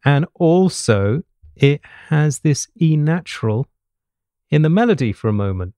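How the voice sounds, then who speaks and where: A man's voice: mid-range voice, warm tone, dry recording. Middle-aged man teaching over piano. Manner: explanatory, checks with short questions like "right?". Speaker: piano teacher, host of online jazz lessons. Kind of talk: informal spoken music lesson, thinking aloud while demonstrating. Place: small home study with bookshelf, indoors. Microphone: studio mic on boom arm close to the mouth. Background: piano playing.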